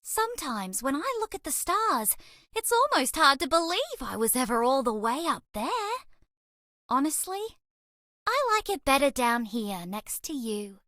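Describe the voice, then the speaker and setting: A woman's voice: high-pitched, big pitch swings, measured pace, pushed and bright, no echo, clean studio sound, exaggerated voice. A woman voicing a sweet, eager young female alien mouse in a dating game, bubbly, earnest and quirky. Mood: tender. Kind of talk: dating game character voice lines.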